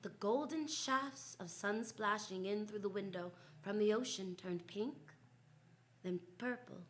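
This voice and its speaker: A woman's voice: mid-range voice, unhurried, fairly bright tone, lightly acted. A woman playing a confident, wry female private detective who narrates and acts in old-time radio style. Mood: storytelling. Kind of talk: 1940s radio detective drama, first-person narration.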